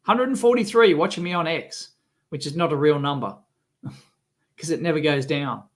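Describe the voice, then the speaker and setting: A man with a cold and a rough, sick-sounding voice, hosting a casual live stream and grumbling with exasperation. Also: fairly high pitch, moderate pace. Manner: grumbling, exasperated, swears freely. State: has a cold, coughing. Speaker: electronics video host.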